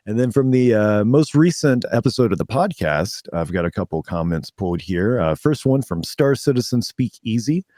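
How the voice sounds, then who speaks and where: Slightly hoarse, low-voiced man co-hosting. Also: lively intonation, moderate pace, hardly any pauses, warm tone, dry recording, clean studio sound. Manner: laid-back, reads listener comments aloud. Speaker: podcast co-host. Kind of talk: casual chat.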